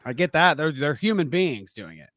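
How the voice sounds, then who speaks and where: A man's voice: a little high, very animated, moderate pace, a bit bright, no room sound, studio quality. A man co-hosting a casual streaming podcast who laughs often and swears freely. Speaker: podcast co-host. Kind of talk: casual chat.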